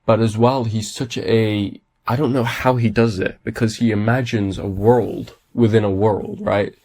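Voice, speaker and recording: Male podcast host, low gravelly voice, raspy with a cold, eager and rambling. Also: fairly even intonation, measured pace, darkish tone. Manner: enthusiastic, rambling with many restarts. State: has a cold. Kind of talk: casual solo podcast talk.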